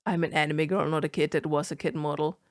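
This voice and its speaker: A woman's voice: deep voice, level tone, fast talker, dry recording, studio quality. A young woman with a German accent reacting to a video in casual commentary, incredulous and swearing often.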